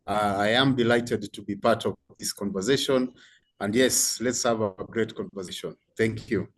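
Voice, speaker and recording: A man's voice: on the low side, steady tone, normal speed. A man from Kenya with a Kenyan accent, introducing himself as a facilitator on a video call. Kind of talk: self-introduction in an online meeting.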